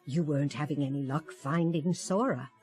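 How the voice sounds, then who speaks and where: A woman playing a kindly, chatty old fairy godmother in a fantasy game, gently musing in a grandmotherly way. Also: deep voice, normal speed, lightly acted. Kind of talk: animated fantasy game acting. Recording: music behind the voice.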